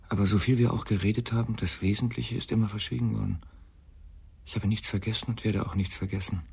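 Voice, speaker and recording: An actor playing an earnest, troubled man, raspy and halting, in a radio drama. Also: lowish voice, darkish tone, heavily acted. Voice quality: raspy, gravelly. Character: earnest, troubled man reading a farewell letter aloud.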